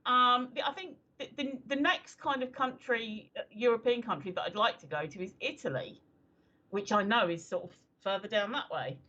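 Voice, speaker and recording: A woman's voice: a little high, normal speed, pushed and bright. A woman around fifty chatting casually as co-host of a livestream talk show, hesitant and full of ums. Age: 50s. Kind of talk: casual chat. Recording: slightly dull lo-fi audio.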